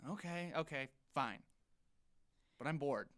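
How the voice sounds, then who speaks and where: A man's voice: on the high side, expressive, unhurried, fairly bright tone. An actor playing an eager, teasing teenage boy with a dramatic, wry way of talking in an audio drama. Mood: playful pleading.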